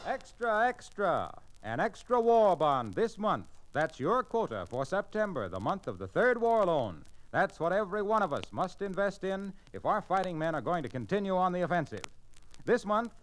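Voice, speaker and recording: A man's voice: high-pitched, sing-song, moderate pace. A man announcing on 1940s radio in an emphatic, urgent, declamatory scripted style, on an old transcription recording.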